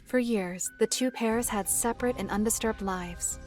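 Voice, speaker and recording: A woman playing a solemn storyteller, narrating dark fantasy lore in a formal, measured way. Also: on the high side, soft background music, natural voice. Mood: grave.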